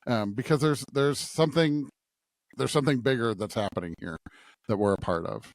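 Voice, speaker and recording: A man co-hosting a religious podcast, talking reflectively and haltingly as he searches for his words. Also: mid-range voice, dry recording. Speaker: podcast co-host. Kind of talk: conversational religious discussion.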